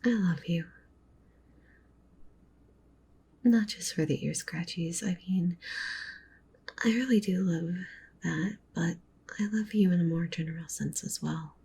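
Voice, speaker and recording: A woman playing a doting, possessive wolf witch girlfriend in an ASMR roleplay, speaking softly and breathily, often in a whisper. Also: on the low side, moderate pace, gravelly, husky, exaggerated voice. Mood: doting, blissful. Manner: cooing, doting, with possessive menace. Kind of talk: ASMR roleplay, intimate soft speech and whispering.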